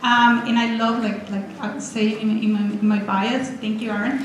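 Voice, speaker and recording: A lively woman from Venezuela speaks with excitement, often restarting her sentences as she walks a college audience through her slides. Her voice sits a little high, and it rings out in a very echoey lecture hall with a faint hiss behind it. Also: moderate pace. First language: Spanish. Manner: excited, chatty, many restarts. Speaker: guest speaker. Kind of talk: energetic public talk with slides.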